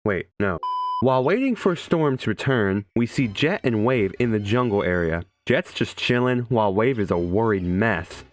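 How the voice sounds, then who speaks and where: A man's voice: lowish voice, lively intonation, moderate pace. A young man giving casual, comedic, scripted video game commentary in an irreverent, profane, joking manner. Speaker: video game reviewer and streamer. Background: faint music.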